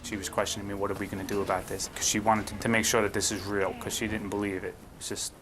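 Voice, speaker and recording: A man's voice: lowish voice, flat delivery, quick pace. A man giving a personal recollection in an informal interview. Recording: noticeable background noise.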